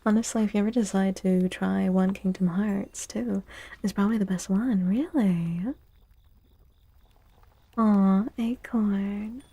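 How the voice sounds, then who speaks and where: Female ASMR streamer: slow, gentle, breathy half-whisper with vocal fry, soothing and affectionate. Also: medium pitch, steady tone. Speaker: livestream host doing tarot readings. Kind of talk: soft-spoken ASMR livestream chat.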